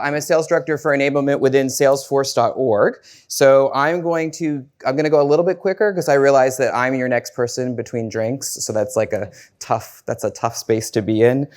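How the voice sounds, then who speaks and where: A man with an American accent speaks at an average pitch to a conference room audience. His delivery is casual and upbeat, with plenty of fillers and restarts. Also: fairly even intonation, fairly quick, clean tone. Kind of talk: conference presentation.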